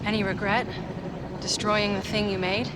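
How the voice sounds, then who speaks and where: A woman's voice: mid-range voice, level tone, normal speed, very noisy, natural voice. An actress playing an intense, devoted young female engineer in a dramatic video game scene. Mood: tense, focused. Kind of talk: dramatic game cutscene acting.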